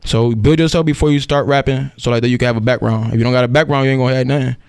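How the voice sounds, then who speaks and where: A man's voice: medium pitch, fairly even intonation, racing, darkish tone, clean tone, no room sound. A young man from Cuba, a rapper guesting on a radio interview, talking loosely in slang and often saying "you feel me.". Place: radio studio.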